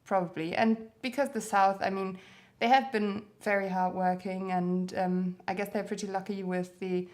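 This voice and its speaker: A young woman from Germany chatting as an interview guest. She speaks candidly and at ease, and her laugh comes through often. Her voice sits at an ordinary middle pitch for a woman. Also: normal speed, hardly any pauses. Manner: relaxed, candid, laughs easily. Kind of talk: casual interview chat.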